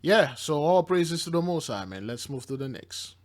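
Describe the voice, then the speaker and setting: A man's voice: on the high side, sing-song, normal speed. A man commenting informally on news and viewer comments from a religious angle, speaking emphatically and repeating himself.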